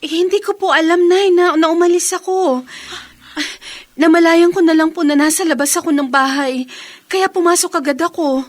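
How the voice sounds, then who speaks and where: A troubled youngster in a radio drama, with a very high voice. The delivery is timid and hesitant, recorded close and dry. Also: female voice, steady tone, moderate pace, dry recording. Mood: confused, timid. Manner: hesitant, stammering. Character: confused, troubled young person, timid with mother.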